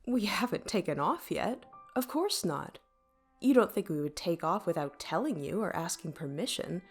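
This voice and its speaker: A woman's voice: fairly low pitch, lively intonation, moderate pace, slightly rough, faint music behind. A woman playing an apologetic, inquisitive starship mind in an audio drama, speaking conversationally with small hesitations. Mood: apologetic, concerned. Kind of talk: audio drama dialogue and log entries.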